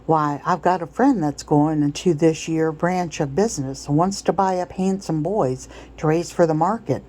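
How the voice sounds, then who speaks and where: A coarse slave trader played by a woman reading a storybook aloud, with a mellow tone. He blusters and wheedles in folksy rustic dialect, overly familiar and always pushing. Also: low voice, moderate pace. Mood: smooth persuasion. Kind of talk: storybook read-aloud character.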